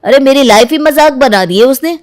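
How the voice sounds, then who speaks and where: A woman's voice: sits high, expressive, fairly quick, a little mellow, voice pushed up. A woman voicing a distraught, sincere young husband in a story, emotional and aggrieved. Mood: bitter.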